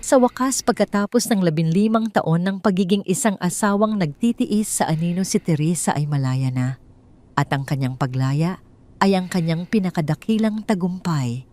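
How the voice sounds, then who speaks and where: A Filipino woman narrates a scripted drama story in audiobook style. Her voice sits low for a woman, and she reads the romance as a storyteller. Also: animated, moderate pace, mellow.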